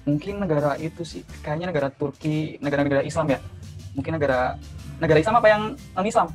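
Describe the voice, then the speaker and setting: A man's voice: on the high side, fast talker, soft background music. A man in his twenties from Cilacap, answering interview questions by guessing aloud and sounding unsure. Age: 20s.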